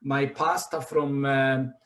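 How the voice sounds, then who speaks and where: A man hosting a livestream book launch, reading out viewer comments in an animated, chatty way. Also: mid-range voice, slow pace. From Kenya. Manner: animated, reads out comments, laughs easily. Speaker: host. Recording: echoey room.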